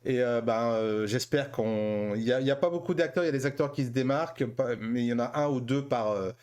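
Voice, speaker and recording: A man's voice: mid-range voice, brisk, darkish tone, smooth. A man hosting a casual group chat show, informal and teasing with the others. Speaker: host of an anime and tokusatsu chronicle show.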